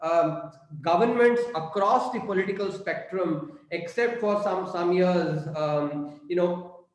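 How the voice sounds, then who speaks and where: A middle-aged man from southern India, a native Tamil speaker with an Indian English accent, gives an unscripted college lecture, pausing often with fillers and restarts. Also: sits high. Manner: halting, many fillers and restarts. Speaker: guest speaker on economics. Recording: very echoey room.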